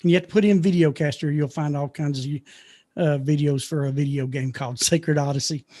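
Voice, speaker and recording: A man's voice: a little high, steady tone, a little fast, warm tone. A man speaking as a guest teacher in a casual online chat, earnest and often correcting himself.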